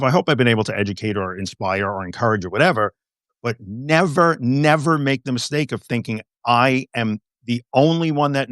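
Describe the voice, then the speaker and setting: A man talks candidly as a therapist guest, his voice sitting at a middle pitch. He speaks with blunt emphasis and steady conviction. The room sound is dry and close. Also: no room sound. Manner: frank and emphatic. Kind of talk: conversational interview.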